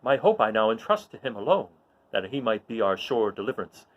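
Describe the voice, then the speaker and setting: A man playing an earnest old mentor, speaking a measured, hopeful soliloquy in Shakespearean verse. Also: fairly low pitch, very animated, muffled recording. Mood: hopeful, careful. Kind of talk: dramatic reading of mock-Shakespearean verse.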